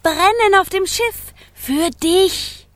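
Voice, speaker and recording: Children's radio play: a tiny living flame, eager and coaxing, very high voice, unhurried. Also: female voice, fairly bright tone, dry recording, exaggerated voice. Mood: hesitant, offering. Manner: exclamatory, coaxing, wide-eyed. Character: small eager living flame, female, bold and yearning.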